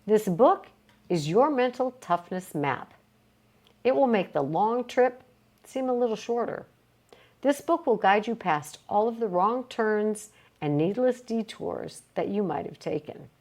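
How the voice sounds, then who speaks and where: Lady reading a motivational book out loud, pitch moves around a lot, emphatic w little pauses. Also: lively intonation. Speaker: reader of a book read aloud. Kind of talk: read-aloud nonfiction, motivational self-help.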